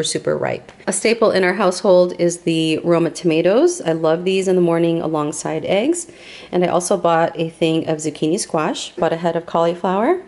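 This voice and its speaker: A young adult woman vlogger casually narrating a grocery haul and weekly meal plan, listing items one by one. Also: lowish voice.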